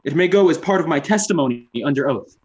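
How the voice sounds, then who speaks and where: An actor playing a proud, defensive young writer giving formal, precise testimony in an old-time radio drama. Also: male voice, a little high, animated, fast talker, a little mellow, slightly rough, lo-fi sound. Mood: defensive, resentful. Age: young adult.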